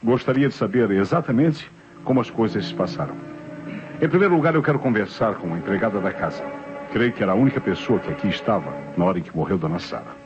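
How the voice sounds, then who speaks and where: A Brazilian actor playing a methodical, suspicious police inspector in a radio drama, speaking formally and deliberately. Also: male voice, fairly low pitch, animated, close to an everyday voice. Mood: measured, probing. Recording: dull old radio recording, music behind.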